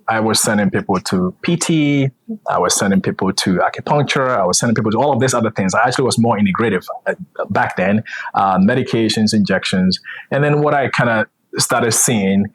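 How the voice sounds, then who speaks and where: Middle-aged man from ghana, low husky voice, relaxed storyteller, lots of uhs. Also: poor audio. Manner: easygoing, storytelling, frequent "uh" fillers. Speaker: physician guest. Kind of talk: conversational interview.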